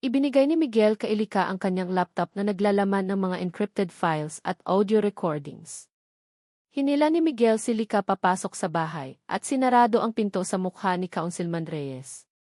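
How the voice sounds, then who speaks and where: A woman's voice: medium pitch, lively intonation, moderate pace, mellow, no room sound, studio quality. A woman narrating a scripted, dramatic love story.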